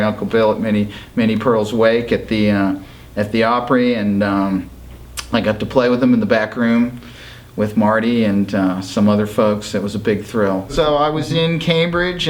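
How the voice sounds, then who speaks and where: Middle-aged man from Massachusetts, session bassist, rambling interview memories full of "uh"s, mid-range voice. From Massachusetts, USA. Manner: rambling, halting with many fillers. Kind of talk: interview storytelling.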